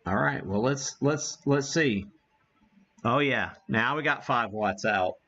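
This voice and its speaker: Slow-talking American man, dull muffled audio. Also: medium pitch, a bit bright. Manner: folksy, mild homespun exclamations. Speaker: livestream host demonstrating ham radio gear. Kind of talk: casual live demo, thinking aloud.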